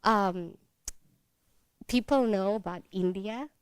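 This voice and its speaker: A woman from Indonesia who works as a travel content creator, giving an earnest, halting comment to a panel. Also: mid-range voice, clean tone, no room sound, studio quality. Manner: earnest, halting, searching for words.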